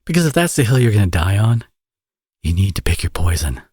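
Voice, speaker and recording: Man acting a deep, husky flirtatious villain. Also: very animated, darkish tone, no echo, studio quality. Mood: teasing, exasperated. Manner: teasing, sardonic, drawling with drawn-out phrases. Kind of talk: ASMR romance audio roleplay, intimate acting.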